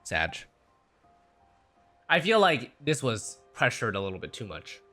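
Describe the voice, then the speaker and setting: Bright, animated man streaming. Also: mid-range voice, measured pace. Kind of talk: casual livestream reaction commentary. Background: game music.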